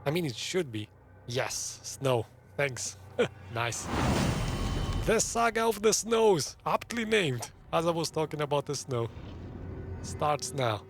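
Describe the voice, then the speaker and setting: A man's voice: on the high side, very animated, slow, pauses often, over music. A man giving casual, chatty live playthrough commentary as a gaming streamer, reacting to the game as he goes. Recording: game ambience behind the voice. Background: video game ambience.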